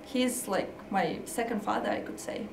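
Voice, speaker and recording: Young woman, halting english, echoey room, mic kinda far. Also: mid-range voice, creaky, background noise. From Kazakhstan. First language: Kazakh and Russian. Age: young adult. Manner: halting, searching for words. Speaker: college tennis player. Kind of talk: casual interview. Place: office indoors.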